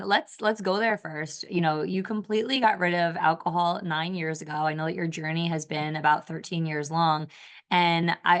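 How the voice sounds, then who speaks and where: A woman co-hosting a podcast, framing an interview question in an encouraging way. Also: lowish voice. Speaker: podcast co-host.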